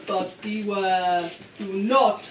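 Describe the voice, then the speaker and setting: A woman's voice: mid-range voice, fairly even intonation, drawn-out words. A woman voicing a haughty, ominous old mushroom wizard in a theatrical puppet show, with a grandiose, condescending delivery. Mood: ominous, mysterious. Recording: roomy, distant live bootleg, faint noise.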